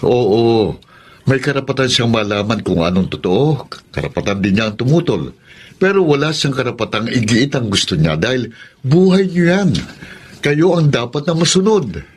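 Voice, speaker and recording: A trusted friend with a rough, husky voice and lively intonation. He is frank and encouraging, in classic Filipino radio drama manner. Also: medium pitch, moderate pace. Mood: insistent. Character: older man's frank, encouraging male confidant.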